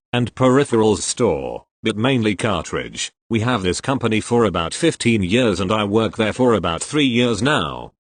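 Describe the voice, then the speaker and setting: A man with a fairly low voice narrates retail stories, reading steadily and even speaking punctuation marks aloud. His pitch still moves a lot from line to line, and the sound is close and dry with no room echo. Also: husky. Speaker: narrator reading online posts. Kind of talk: scripted narration.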